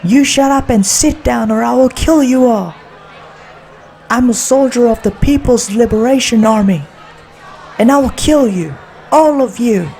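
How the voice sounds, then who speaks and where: Furious young female hijacker, played by a male narrator, high, gravelly shouting, audiobook villain. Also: measured pace, a little hiss or hum, full character voice. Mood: furious, threatening. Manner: shouting threats. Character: furious young woman hijacker, a shaking armed militant.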